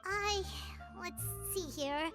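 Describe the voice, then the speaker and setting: A woman voicing a tiny, childlike fairy companion in a video game, squeaky, anxious and eager. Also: very slow, full character voice. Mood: flustered. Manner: hesitant, speaks of herself by name. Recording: game music behind the voice.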